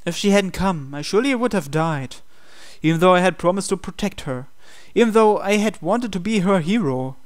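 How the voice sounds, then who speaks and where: A man's voice: high voice, animated, moderate pace. A man reading visual novel text aloud as dramatic scripted narration for a playthrough.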